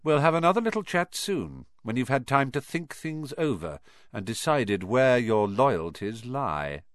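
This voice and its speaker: A man's voice: medium pitch, sing-song, unhurried, no room sound, studio quality. A man voicing a cold, threatening male teacher with an icy manner. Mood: icy menace.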